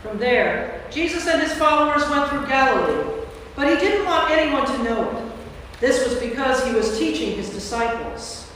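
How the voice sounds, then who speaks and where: Woman narrator, high voice, scripted Bible narration, long church reverb, faint noise. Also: animated, normal speed, a bit bright. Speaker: narrator, priest. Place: large church interior. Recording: echoey church hall from far off, faint noise.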